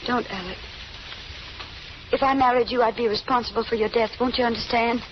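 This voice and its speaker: A woman's voice: fairly high pitch, steady tone, fairly quick, lightly acted. An actress playing an anguished, devoted young fugitive woman, earnest and melodramatic in old-time radio style. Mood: fearful, pleading. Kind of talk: 1930s radio drama acting. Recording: muffled, noisy old radio recording.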